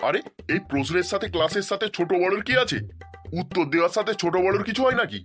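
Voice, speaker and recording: An older male cartoon schoolteacher acted by a man rattles off sarcastic scoldings at a quick clip. He is fed up and repeats himself, with faint music underneath. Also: sits high, expressive. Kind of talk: comedy cartoon voice acting.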